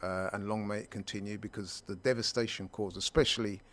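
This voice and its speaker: A man co-hosting a casual sports chat, speaking in a measured, emphatic way and repeating words for stress. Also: fairly low pitch, steady tone. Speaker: sports pundit co-host.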